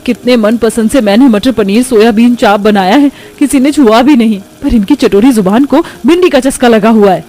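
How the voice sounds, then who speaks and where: A woman's voice: fairly high pitch, moderate pace, few pauses, warm tone, lightly acted. A woman voicing an exasperated, dutiful young daughter-in-law in a cartoon story. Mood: grumbling. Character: harried, grumbling young daughter-in-law cook. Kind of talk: acted moral-story cartoon.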